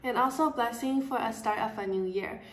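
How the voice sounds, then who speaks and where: Brief: teen girl from Taiwan, class presenter, steady explaining tone, roomy echo. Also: a little high, brisk, recorded from a distance, heavy background noise. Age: teens. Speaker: student presenter. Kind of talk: school project presentation.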